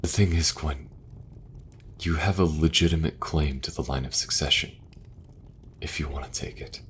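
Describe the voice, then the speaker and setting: A man acting as a benevolent king and brother in a fantasy audio roleplay, speaking in an earnest, measured way. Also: very deep voice, a bit bright, slight background noise. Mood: grave, earnest.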